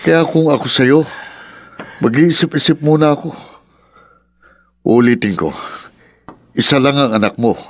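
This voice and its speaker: A man's voice: mid-range voice, unhurried, pauses often, no room sound. A man acting in a Filipino radio drama as a middle-aged, concerned uncle who speaks in an earnest, admonishing way. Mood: earnest pleading. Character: concerned, protective uncle, the drunkard's younger brother.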